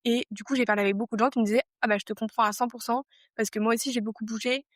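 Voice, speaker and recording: A woman's voice: on the high side, steady tone, racing, no room sound, studio quality. A woman in her twenties from France, a podcast guest talking things over casually and reflectively, with many fillers and restarts. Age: 20s.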